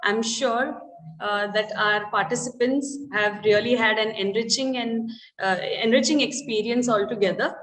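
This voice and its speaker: A woman's voice: a little high, steady tone, moderate pace, fairly bright tone. A woman moderating an online conference. She gives a formal, courteous vote of thanks. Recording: echoey room.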